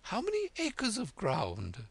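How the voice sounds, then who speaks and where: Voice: mid-range voice, huge pitch swings, takes it slowly, creaky, slightly rough, pitched above the performer's own voice, heavily acted. A reader doing a fluttery, anxious queen. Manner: soft, rambling, nervous. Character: fretful muddled queen, gentle and anxious.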